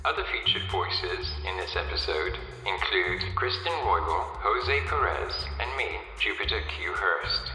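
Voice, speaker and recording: A man's voice: sits low, unhurried, few pauses, pushed and bright. A man reading the episode credits in a plain, matter-of-fact way. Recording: roomy, reverberant sound with music behind.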